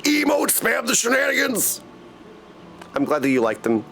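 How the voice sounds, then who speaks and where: A man giving casual, excitable, jokey live commentary as he streams a game. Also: very high-pitched, moderate pace, gravelly, a crowd in the background. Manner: excitable, jokey, bursts of exclamation.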